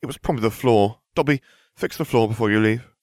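A man's voice: medium pitch, fast, a little mellow, dry recording, clean studio sound, voice pushed up, close to an everyday voice. A man voicing a self-righteous, glib schoolboy with a pompous manner in a storybook reading. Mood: dismissive. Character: cocky, self-righteous schoolboy, indignant and oblivious.

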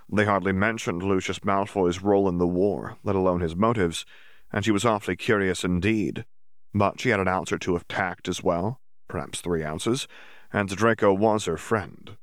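A man with a lowish voice narrates a fantasy story in a steady, measured way. The recording is dry and close, with no room sound. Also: no room sound. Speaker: audiobook narrator. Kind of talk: scripted audiobook narration.

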